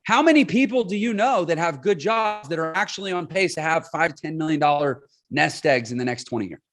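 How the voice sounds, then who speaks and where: A man's voice: fairly high pitch, animated, brisk, fairly bright tone, faint music behind. A man in his forties, a podcast guest, explaining his points emphatically and often checking in with "right?". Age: 40s. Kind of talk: casual interview talk.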